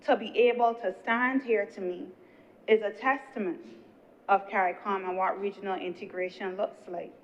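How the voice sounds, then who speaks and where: A woman senator with a Barbadian accent, giving an earnest, measured speech in the chamber. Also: mid-range voice, fairly even intonation, slight background noise. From Guyana, raised in Barbados. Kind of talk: parliamentary speech. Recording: dull lo-fi chamber broadcast.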